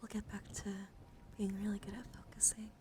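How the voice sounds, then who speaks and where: A woman livestreamer doing playful ASMR, drifting between whispers and soft-spoken casual chat as she coaxes and addresses her viewers directly. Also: medium pitch, flat delivery, a little fast, a little hiss or hum. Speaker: livestreamer, VTuber.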